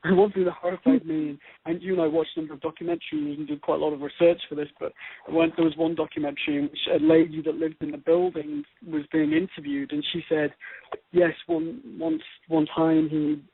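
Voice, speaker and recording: A man with a husky, creaky voice co-hosting a conversational discussion, halting and repeating phrases for emphasis. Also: fairly high pitch, expressive. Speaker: show co-host. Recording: narrow phone-like radio sound.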